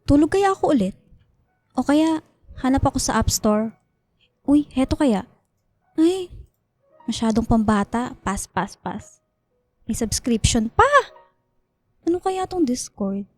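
High-voiced bored young Filipino woman , acted by a woman. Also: dry recording. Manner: casual, slangy, expressive. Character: shy, bored young woman making online friends. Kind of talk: radio drama dialogue.

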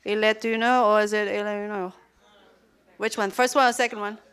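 A woman's voice: medium pitch, steady tone, a bit bright. Chatty German woman presenting. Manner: chatty, laughing asides, quick questions to the audience. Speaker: presenter at a teacher conference. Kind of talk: conference workshop talk. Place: large lecture hall.

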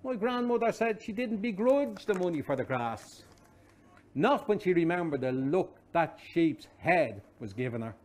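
A man in his fifties with a Dublin Irish accent reads a literary story aloud, expressively and wryly, with deliberate comic timing. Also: medium pitch, darkish tone. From Dublin, Ireland. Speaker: reader of a short story. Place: small wood-panelled pub snug, indoors.

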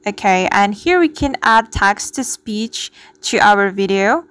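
A woman presenting a step-by-step software tutorial as the host. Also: mid-range voice, animated, takes it slowly, clean tone, no echo. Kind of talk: spoken screen-recording tutorial.

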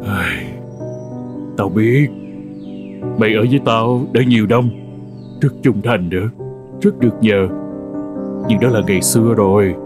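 A man voicing a cold, grudging farmer in a cartoon folk tale, gruff and dismissive. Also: lowish voice, a little slow, long pauses, close to an everyday voice. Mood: reluctant, stern. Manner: gruff, dismissive, sighing reluctantly. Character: cold, grudging male farmer, master of an old horse. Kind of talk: animated folk tale dialogue. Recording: music under the voice.

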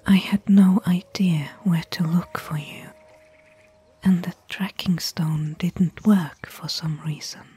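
A woman playing a caring, worried fantasy expedition companion in a soft, breathy, intimate ASMR whisper. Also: on the low side, steady tone, faint music behind, full character voice. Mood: hushed recounting. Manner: intimate, gentle, slow and hushed. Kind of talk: soft-spoken ASMR roleplay.

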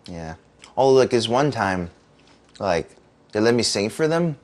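A man's voice: lowish voice, very animated, a little slow. A man playing an awkward, reluctant band roadie, talking hesitantly and casually in a mockumentary interview. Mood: sheepish, awkward.

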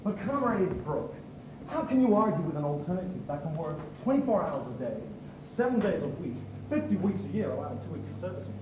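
An actor playing a smooth-talking male door-to-door salesman with a flattering pitch. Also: fairly quick, background noise. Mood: slick. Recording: echoey, distant, music behind.

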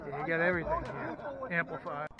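A middle-aged American man giving casual, unhurried and enthusiastic vlog narration as a radio hobbyist. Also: a little high, big pitch swings, a loud crowd. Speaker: vlogger and ham radio hobbyist. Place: outdoors at a fairground. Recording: muffled outdoor camera audio.

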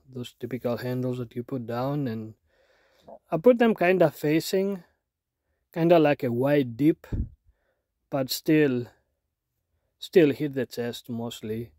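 A man's voice: medium pitch, dry recording, clean studio sound. A man in his thirties, a fitness vlogger, talking casually and tiredly about his home workout, with fillers and restarts. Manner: relaxed, plain-spoken, many fillers and restarts. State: tired from little sleep. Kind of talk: casual narration over workout clips. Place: small room at home, indoors.

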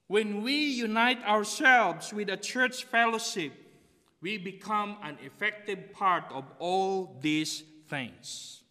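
A man's voice: high-pitched, sharp tone. A middle-aged man from the Philippines with a Filipino accent, preaching a sermon from a church pulpit and repeating key phrases. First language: Tagalog. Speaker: pastor. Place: empty church sanctuary behind a pulpit. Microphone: pulpit mic on a stand, far camera. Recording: long reverb of a church hall.

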